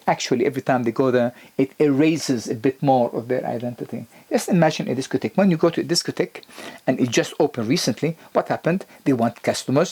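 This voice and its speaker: A man giving an emphatic explanatory lecture on health, often rising sharply in pitch on key points and restarting his sentences. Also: warm tone, slightly rough.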